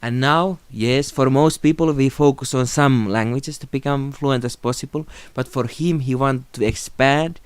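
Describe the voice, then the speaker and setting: A young Finnish man speaks calmly and reflectively into a close phone or webcam in a small room. His voice is smooth and clear, at a middle pitch. The sound is dry, with no room echo. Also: moderate pace, few pauses. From Finland. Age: young adult. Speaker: video creator. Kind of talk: unscripted tribute talk to camera.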